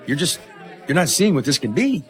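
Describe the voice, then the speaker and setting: Brash, animated newsroom boss. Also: male voice, fairly high pitch, brisk, soft background music, a faint crowd. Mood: dismissive, scheming. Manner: dismissive, pushy. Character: brash, cynical male TV news station boss. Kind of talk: audio drama acting.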